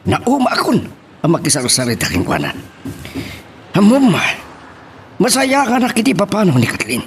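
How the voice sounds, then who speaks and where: A man playing a stern Ilocano father in an audio drama. He scolds emphatically in a high male voice that leaps up and down with exasperation. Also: huge pitch swings, normal speed, a little hiss or hum. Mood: grumbling. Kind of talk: Ilocano radio-style drama acting.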